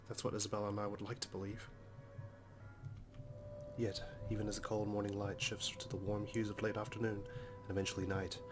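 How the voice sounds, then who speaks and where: Low, even-toned man narrating over music. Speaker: let's play host reading the game's text. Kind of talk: dramatic reading of first-person story narration. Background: game music.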